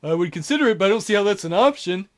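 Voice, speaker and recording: A man voicing a smug male villain who mocks his captives in a smooth, gloating way. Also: sits high, animated, rapid-fire.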